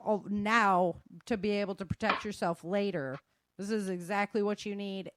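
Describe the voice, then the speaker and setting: A woman's voice: medium pitch, dry recording. Casual female host, dry close sound. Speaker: host of a self-defense and survival show. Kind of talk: casual spoken advice to listeners.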